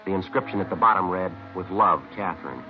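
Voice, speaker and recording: Man as a very deep, clipped, deadpan detective. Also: fast talker, faint music behind, lightly acted. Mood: dry, factual. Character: terse, businesslike male police detective, methodical and dry. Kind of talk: 1940s-50s radio crime drama, clipped narration and dialogue. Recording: noisy, dull vintage radio recording.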